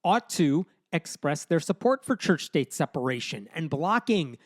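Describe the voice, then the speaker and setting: A man's voice: fairly high pitch, sing-song, a little slow, no echo. Male podcast host with a dry sound. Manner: argues points with poll numbers. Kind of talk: casual podcast discussion.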